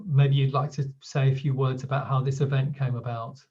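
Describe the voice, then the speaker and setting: Brief: male event host, mid-range voice, halting and filler-heavy, dull lo-fi stream audio. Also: medium pitch, flat delivery, darkish tone. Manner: loose, halting, with many fillers. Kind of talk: hosting an online talk.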